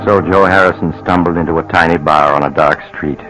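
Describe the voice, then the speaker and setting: An actor in an old-time radio drama serving as the grave, ominous, deliberate narrator of a thriller. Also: male voice, sits low, steady tone, a bit bright, soft background music, rough recording.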